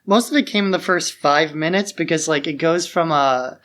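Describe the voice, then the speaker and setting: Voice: mid-range voice, moderate pace, fairly bright tone. A podcast co-host chatting casually about films in an informal discussion.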